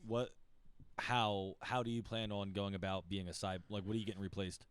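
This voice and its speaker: A man's voice: on the low side, flat delivery, normal speed, clean tone. A young man in a casual podcast chat, crude and joking, swearing freely.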